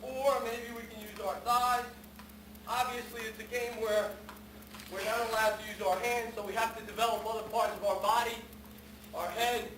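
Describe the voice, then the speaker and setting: High-voiced New man in an echoey room. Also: level tone, normal speed. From New York City, the Bronx. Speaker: soccer performer and presenter. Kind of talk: live public talk with demonstration. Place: large room at a press conference. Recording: echoey hall, distant, clearly noisy.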